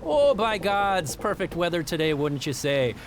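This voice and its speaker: An actor playing a big, ruthless pirate captain with folksy, mock-cheerful politeness in an audio fantasy drama. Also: male voice, on the high side, very animated, a little slow, noisy background. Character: large, jovially menacing red-bearded pirate captain, ruthless.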